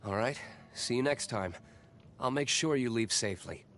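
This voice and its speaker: An actor playing a brooding, aloof young nobleman vigilante in a video game, with a terse, guarded, measured manner. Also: mid-range voice, a bit bright, slight background noise, natural voice. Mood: guarded, reserved. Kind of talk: scripted video game character lines.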